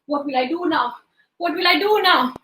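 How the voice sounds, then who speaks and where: A woman's voice: sits high, moderate pace, pushed and bright, roomy sound, distant mic. A woman voicing an anxious storybook king with a secret, fretting in repeated phrases. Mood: panicked. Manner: fretful, repetitive.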